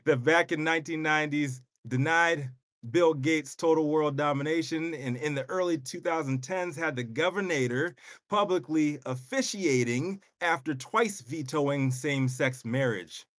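A man's voice: a little high, measured pace, fairly bright tone, no echo. A man co-hosting a talk show, reading a news story with wry asides and casual slang. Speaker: co-host, news segment presenter.

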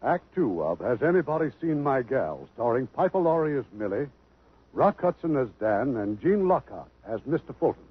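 A man's voice: medium pitch, very animated, moderate pace. A male announcer introducing an act of a radio play in a formal, declamatory style. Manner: crisp and declamatory. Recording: tinny old radio broadcast with music.

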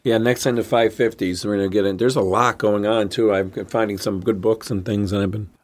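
A man's voice: lowish voice, steady tone. A man co-hosting a casual podcast, mostly reacting to his partner with short comments and jokey asides. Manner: chatty, quick backchannel reactions and asides. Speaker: podcast co-host.

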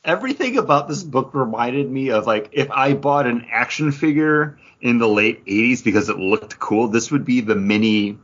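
A man's voice: medium pitch, lively intonation, normal speed, few pauses, fairly bright tone, muffled recording. A man chatting casually as a podcast host, rambling and peppering his talk with "like.". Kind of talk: casual comic book review chat.

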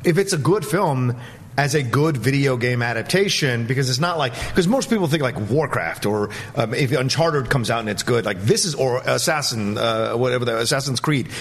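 A man's voice: medium pitch, lively intonation, normal speed, breathy edge. Guy on a movie panel show, really into it, emphatic opinions, mid-range voice. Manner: enthusiastic, emphatic.

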